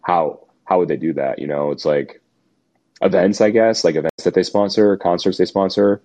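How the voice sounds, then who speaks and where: Deep-voiced man, slightly hoarse co-host, casual and skeptical. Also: normal speed, warm tone. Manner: skeptical, musing aloud. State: slightly losing his voice, sick. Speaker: podcast co-host. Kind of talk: casual chat.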